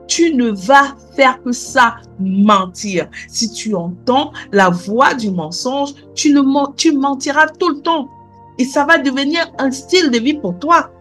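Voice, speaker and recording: A woman's voice: sits high, a bit bright. A woman preaching a sermon, asking repeated questions and punctuating her lines with alleluia and amen.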